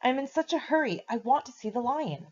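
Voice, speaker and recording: A woman's voice: high-pitched, expressive, fairly quick, slightly rough, dull audio, voice pushed up. A woman voicing an earnest, anxious boy pleading urgently and a little breathlessly.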